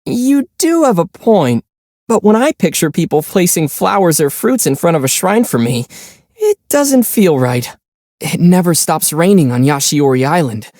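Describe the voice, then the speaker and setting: A man voicing a calm, gentle young traveler hero in a video game, speaking thoughtfully with mild teasing. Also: a little high, no echo, clean studio sound. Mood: gently doubtful. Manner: measured, thoughtful, mildly teasing. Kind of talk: video game character voice lines.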